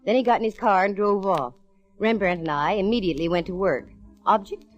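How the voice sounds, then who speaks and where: An actress playing a confident, nosy private eye in a breezy, slangy 1940s radio style. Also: female voice, mid-range voice, brisk, dull audio, lightly acted. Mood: wry narrating. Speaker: lead actress in a radio detective serial. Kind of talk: 1940s radio acting, hard-boiled narration and dialogue. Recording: vintage radio show, music behind.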